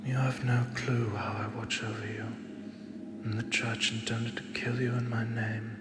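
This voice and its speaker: A man voicing an ancient male god who speaks softly and slowly, fond and gently teasing, as if to a mortal he protects. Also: medium pitch, level tone, heavy background noise, lightly acted. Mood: soothing. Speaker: voice actor. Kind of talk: audio drama monologue. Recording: echoey with long reverb and clear ambience.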